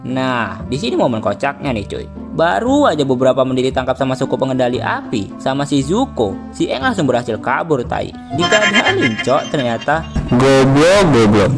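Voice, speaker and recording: A man's voice: mid-range voice, sing-song, moderate pace. A young man with an Indonesian accent giving casual, slangy comedic commentary, joking and swearing freely. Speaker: video commentator. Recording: music behind the voice.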